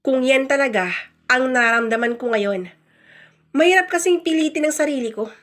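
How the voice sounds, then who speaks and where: A woman acts out a blunt husband in a radio melodrama, fed up and defiant. The voice sits fairly high for a grown speaker, with a self-justifying, scornful edge. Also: normal speed, a bit bright. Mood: weary, firm. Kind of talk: radio drama dialogue.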